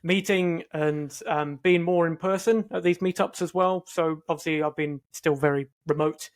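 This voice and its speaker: A man with a somewhat high voice co-hosts a podcast. He chats casually and comes across as agreeable and easygoing. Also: steady tone, normal speed. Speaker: podcast co-host. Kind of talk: casual chat.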